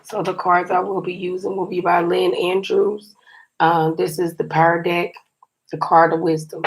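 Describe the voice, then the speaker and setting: A woman reading tarot cards for her viewers in a chatty, encouraging way, her voice a little scratchy and raspy today. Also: on the low side, little pitch movement, moderate pace. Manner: chatty and encouraging, often says "you guys". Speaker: tarot card reader.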